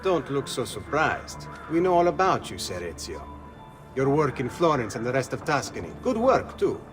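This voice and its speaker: An actor voicing a shrewd, middle-aged male guild leader, calm and in charge. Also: mid-range voice, normal speed, natural voice. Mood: wry. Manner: calm, wry, takes charge. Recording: game cutscene with music underneath.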